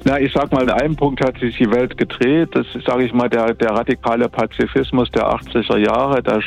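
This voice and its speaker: A man's voice: mid-range voice, steady tone, a little fast, vocal fry. A man in his fifties from eastern Germany, recalling things thoughtfully in a telephone interview. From Dresden, eastern Germany. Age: 50s. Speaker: interview guest, church academy director. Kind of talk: spontaneous, reflective recollection in an interview. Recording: dull, band-limited telephone line.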